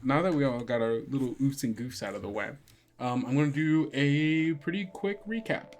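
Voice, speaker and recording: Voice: mid-range voice, normal speed, darkish tone, faint music behind. A game master hosting a tabletop podcast, chatting casually with an informal, joking way of talking.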